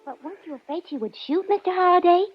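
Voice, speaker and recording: A woman's voice: very high voice, quick pace, loud music behind the voice. An actress playing a naive, eager young female secretary with a guileless, gushing manner, in 1940s radio drama style. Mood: guileless awe. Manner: guileless, wide-eyed, gushing. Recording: muffled 1940s radio recording.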